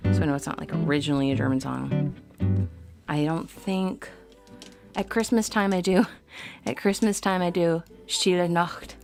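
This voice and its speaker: A woman hosting a live singing stream, chatting casually with viewers between songs in quick, rambling bursts full of ums and likes. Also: deep voice. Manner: reads out viewer comments and answers them. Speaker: livestream singer and host.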